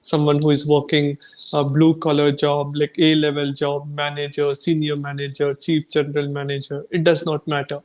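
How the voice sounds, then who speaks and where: A man's voice: fairly high pitch, monotone, normal speed. A man speaking as a guest on a video call, earnest and listing things off. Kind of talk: casual chat.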